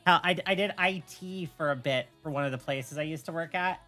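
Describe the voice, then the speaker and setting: A woman's voice: low voice, fairly quick, soft background music. A woman chatting casually on a livestream, telling stories in a blunt, opinionated way. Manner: opinionated, blunt, animated storytelling with swearing. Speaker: streamer, host.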